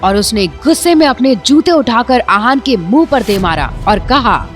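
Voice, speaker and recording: A woman narrating a serialized audio drama with dramatic storytelling. Her voice sits on the high side, and faint music plays under the narration. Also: lively intonation, moderate pace.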